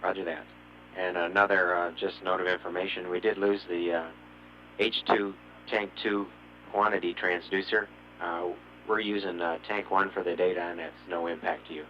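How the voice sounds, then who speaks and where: A man's voice: sits low, flat delivery, moderate pace, slight background noise. A man as ground control communicator, speaking briefly and procedurally to a spacecraft crew. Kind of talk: radio exchange with spacecraft. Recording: dull radio transmission.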